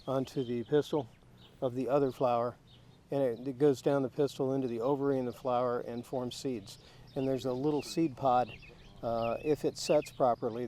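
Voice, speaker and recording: Halting, mellow man talking outdoors. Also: medium pitch, a little hiss or hum. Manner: halting, with fillers and restarts. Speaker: daylily grower. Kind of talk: casual explanatory interview answers. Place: outdoor garden.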